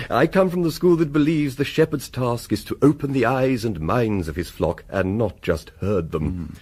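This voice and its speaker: An actor playing a genial, wordy Victorian country rector with an English accent in a period radio drama. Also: male voice, medium pitch, lively intonation, a little slow, darkish tone, husky. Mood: confiding, pleased. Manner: effusive, wordy, genteel.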